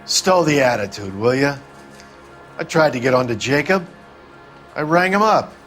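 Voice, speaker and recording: An actor playing a world-weary, gruff middle-aged 1940s club owner in a video game, blunt and wistful. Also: male voice, medium pitch, expressive, moderate pace, a bit bright, soft background music, natural voice. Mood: defensive, angry.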